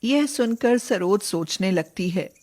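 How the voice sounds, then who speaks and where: A woman's voice: mid-range voice, expressive, slow, darkish tone. A woman narrating a scripted moral story in a dramatic storytelling style.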